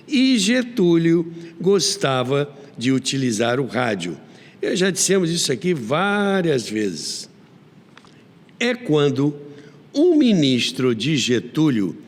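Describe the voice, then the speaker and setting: A Brazilian man tells history as a program host, his fairly high voice swinging widely in pitch. He narrates conversationally, slipping in wry asides like a theatrical storyteller. A long hall-like reverb trails the voice. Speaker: host of a radio history show.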